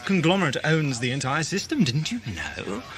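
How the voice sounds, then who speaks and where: A man playing a guarded, sardonic time-travelling adventurer in an audio drama, speaking in a dry, wry, measured manner. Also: a little high, wildly swooping pitch, a bit bright, soft background music. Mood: musing, informative.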